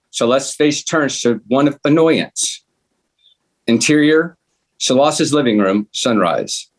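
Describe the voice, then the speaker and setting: A man narrates a script at a table read, giving scene headings and action in a measured, plain delivery. His pitch sits in the middle range for a male voice. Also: husky. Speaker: stage directions reader.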